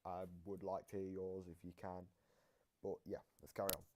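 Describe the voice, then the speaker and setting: A man with a deep, mellow voice gives casual commentary as the host of a reaction video. He explains game lore haltingly, often letting a thought trail off before picking it up again. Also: little pitch movement.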